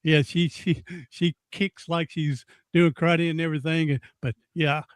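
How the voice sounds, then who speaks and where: A man's voice: a little high, fairly even intonation, a little slow, clean tone, no echo, clean studio sound. A man in his sixties hosting a podcast interview, folksy and rambling, often repeating himself. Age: 60s. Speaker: podcast host. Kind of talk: casual interview chat.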